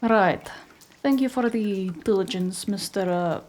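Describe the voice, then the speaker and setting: A woman's voice: medium pitch, a little slow, darkish tone, natural voice. A woman playing a haughty, imperious operative who poses as a scientist in an audio drama. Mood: brisk, haughty. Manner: haughty, indignant.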